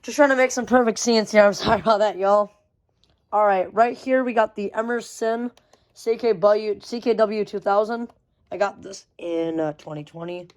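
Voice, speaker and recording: A young hobbyist talks through a collection in an informal, unscripted vlog style. The pitch sits in a middle range, and the wording is slangy, with repeated phrases and occasional mild swearing. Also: moderate pace. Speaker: vlogger showing a weather radio collection. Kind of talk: casual hobby show-and-tell, unscripted.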